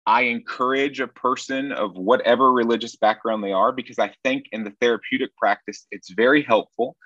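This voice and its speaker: A man's voice: mid-range voice, fairly bright tone, no echo, lo-fi sound. A man from Nashville, Tennessee, speaking as a sex therapist guest in an earnest, wry, reflective way. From Nashville, Tennessee, USA. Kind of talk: conversational interview answers.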